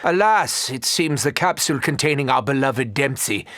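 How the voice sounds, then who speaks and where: An actor playing an eccentric, haughty German mad scientist doctor in a video game, theatrical and grandiose, with a German accent. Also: male voice, medium pitch, expressive, moderate pace, fairly bright tone, dry recording, clean studio sound, close to an everyday voice. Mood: brooding, resolute. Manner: theatrical, grandiose, self-important. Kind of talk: video game character quotes.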